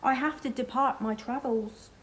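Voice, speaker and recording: A woman's voice: high voice, steady tone, moderate pace, natural voice, rough recording. Woman as an earnest storybook mouse prince. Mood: tender.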